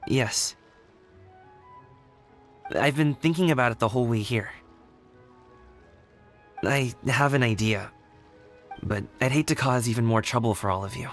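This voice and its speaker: Actor as boyish young man in a game; casual, humble, earnest, mid-pitched. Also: a little slow, pauses often, faint music behind, natural voice. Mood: earnest, grateful. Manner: casual, youthful. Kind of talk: video game voice acting.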